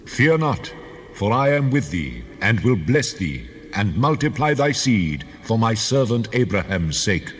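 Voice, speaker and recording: An actor playing God as a solemn, commanding figure in a dramatized scripture reading. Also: male voice, fairly low pitch, sing-song, a little slow, a bit bright. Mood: solemn, reassuring. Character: God speaking in solemn majesty. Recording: noisy old recording, long reverb.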